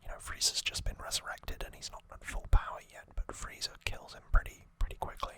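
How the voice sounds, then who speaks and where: An ASMR host whispering a conversational recap in a breathy, unvoiced, hushed voice. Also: a little fast.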